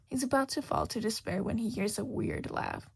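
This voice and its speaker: A woman's voice: mid-range voice, huge pitch swings, normal speed, gravelly, slightly rough. A woman reading a scripted fiction story aloud as its narrator. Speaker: podfic narrator.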